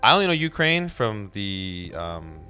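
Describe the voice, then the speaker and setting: A man's voice: on the low side, sing-song, a little slow, pushed and bright, soft background music. A man hosting a podcast interview, chatting casually with joking, sarcastic asides. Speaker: podcast host.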